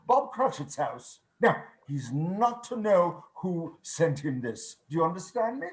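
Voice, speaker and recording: An elderly reformed miser bursts with giddy generosity in a radio play. The voice is high and very animated, repeating phrases with exclamatory delight, over a roomy, echoing call. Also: male voice, measured pace. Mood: giddy, generous. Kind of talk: theatrical radio play reading. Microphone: video call.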